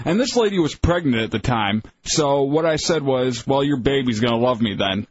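A man co-hosting a radio talk show, telling stories in a deadpan way. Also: mid-range voice, moderate pace, muffled recording, a little hiss or hum. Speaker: radio co-host. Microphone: studio microphone.

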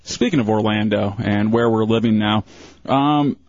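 A man's voice: lowish voice, moderate pace, a little mellow, dry recording, lo-fi sound. Dry teasing radio co-host man. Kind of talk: casual on-air banter.

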